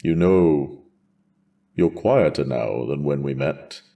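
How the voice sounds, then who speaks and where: A man plays a wise, steady dragon in a cinematic fantasy audio drama. The voice is very low and dark, and it moves slowly, with measured, gently probing questions. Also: vocal fry, dull audio, heavily acted. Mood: wry, fond. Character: wise, steady male dragon, bonded to his rider.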